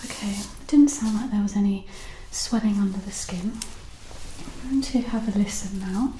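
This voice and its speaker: A woman speaks in a breathy, mellow whisper as an ASMR doctor. She is reassuring and explains each step gently. The recording is a little roomy, with faint background noise. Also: medium pitch, normal speed. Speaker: doctor in an ASMR medical role play. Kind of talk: whispered ASMR medical role play.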